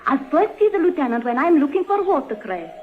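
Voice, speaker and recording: An actress playing a gentle, naive young Panamanian girl, speaking earnestly and carefully in a radio drama. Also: high voice, very animated, a little fast. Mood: earnest explaining. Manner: earnest, carefully phrased, unworldly. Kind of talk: 1950s radio drama acting. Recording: tinny, band-limited vintage radio recording.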